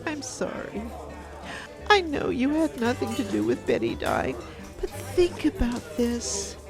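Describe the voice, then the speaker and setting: An actress playing a distraught, superstitious old widow in a radio play, pleading and melodramatic. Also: female voice, a little high, huge pitch swings, slow, over music, heavily acted. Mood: sorrowful, pleading.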